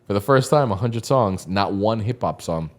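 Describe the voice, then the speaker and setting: A man's voice: fairly low pitch, animated, normal speed. A man in his thirties telling stories in casual podcast chat, laid-back and slangy, laughing often. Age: 30s. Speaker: podcast co-host.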